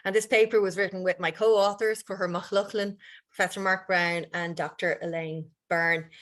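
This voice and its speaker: A woman, a university director, giving a conference talk over a video call, quick and loose, stringing long clauses together. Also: lowish voice, fairly bright tone. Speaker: university director presenting research. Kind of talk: conference presentation.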